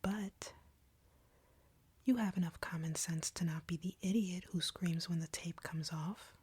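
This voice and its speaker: A woman playing an arrogant, cruel, flirty female vampire captor in an audio roleplay, taunting in an intimate, breathy, husky whisper. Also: fairly low pitch, mellow, dry recording. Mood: mocking, menacing. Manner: taunting, slow, deliberate, drawling.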